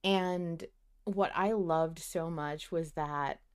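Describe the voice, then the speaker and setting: A woman's voice: deep voice, fairly even intonation, slow, clean tone. A woman hosting a podcast, talking in a casual, conversational and emphatic way. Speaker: podcast host.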